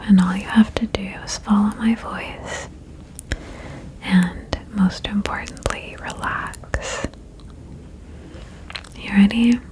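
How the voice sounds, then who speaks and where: A woman whispering a guided ASMR meditation in a breathy, soothing way, with gentle instructions and long pauses. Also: mid-range voice, rough recording. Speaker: ASMR host guiding a relaxation game.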